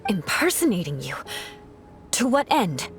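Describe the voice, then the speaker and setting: An actress playing a stern, haughty young female knight in a video game, formal, imperious and sharp-tongued. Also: medium pitch, big pitch swings, a little slow, music in the background. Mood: indignant, skeptical.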